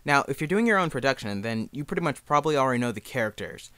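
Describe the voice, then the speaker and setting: A young man with a clear, smooth voice at an average pitch walks through a how-to in casual, informal language. The sound is dry and close, with no room on it. He is self-deprecating and speaks as an amateur helping beginners. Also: big pitch swings, quick pace, pushed and bright, no echo. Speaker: amateur fan dub creator giving a tutorial. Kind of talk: casual spoken tutorial.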